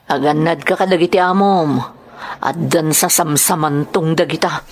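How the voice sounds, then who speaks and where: A woman's voice: low-pitched, steady tone, normal speed, pitched below the performer's own voice. A woman playing a wary, knowing female migrant worker in a radio drama, speaking frankly and with caution. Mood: warning.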